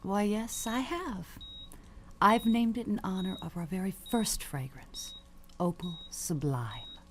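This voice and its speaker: A woman playing an elderly, dying perfume matriarch in an audio suspense drama, genteel, measured and sly, with cutting endearments. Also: medium pitch, slight background noise, natural voice. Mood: wistful, scheming.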